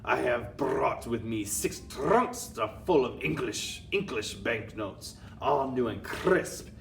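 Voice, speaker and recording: A man's voice: mid-range voice, expressive, takes it slowly, gravelly, distant mic, soft background music, voice pushed up. A man voicing a tyrannical witch queen, thundering and menacing with odd foreign phrasing. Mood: commanding.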